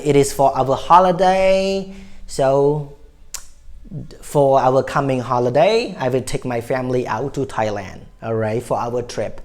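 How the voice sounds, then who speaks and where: A man with a Cambodian accent teaching English phrases in a casual, repetitive way, often checking in with "all right?". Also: mid-range voice. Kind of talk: casual spoken lesson.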